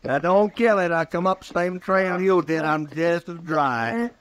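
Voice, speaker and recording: A man's voice: a little high, measured pace, natural voice. A man playing a boastful, defiant drunken cattle cowboy with a Western American drawl in an old-time radio western. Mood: jolly, tipsy.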